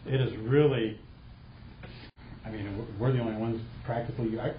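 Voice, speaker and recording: A man's voice: medium pitch, fairly even intonation, normal speed. A man presenting a proposal at a city council meeting, rambling with many restarts and fillers. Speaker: bank representative presenting a proposal. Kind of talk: public comment at a council meeting. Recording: echoey, dull council chamber audio with hum.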